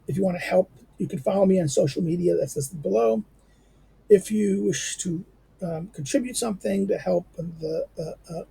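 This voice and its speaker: A hoarse man, a teacher, reading a history text aloud in a halting way with many fillers and restarts, then adding his own remarks. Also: high-pitched, warm tone, rough recording. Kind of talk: halting read-aloud with commentary.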